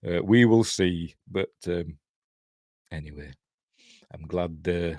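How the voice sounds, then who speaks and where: A man's voice: deep voice, takes it slowly, a little mellow, no echo. A middle-aged man with a Yorkshire accent, hosting a casual livestream in a rambling, chatty way with self-deprecating asides. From Yorkshire, England. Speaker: channel host reviewing TV.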